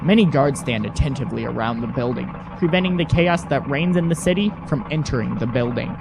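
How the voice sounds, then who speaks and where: A woman's voice: very deep voice, animated, a little fast. A woman narrating an audio drama from a script. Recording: clear background noise and clicks.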